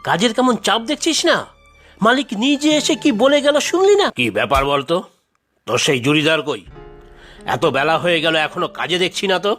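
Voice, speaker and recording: A man's voice: on the high side, big pitch swings, a little fast, fairly bright tone, soft background music. Bossy cartoon foreman, wildly swooping voice. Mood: scolding, bossy. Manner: obsequious to superiors, scolding to workers. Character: bossy, fawning, scheming labour-site manager, a man. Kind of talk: cartoon voice acting.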